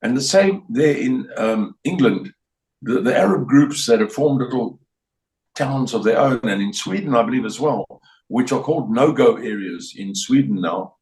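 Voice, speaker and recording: A man's voice: mid-range voice, expressive, moderate pace, darkish tone, distant mic. An older South African man talks bluntly in an interview and loops back over his points with plenty of restarts. His voice is a little off the mic and the room rings around it. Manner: rambling, repetitive, blunt, with many restarts. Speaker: interview guest. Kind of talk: opinionated interview talk. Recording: roomy sound, voice a bit off-mic.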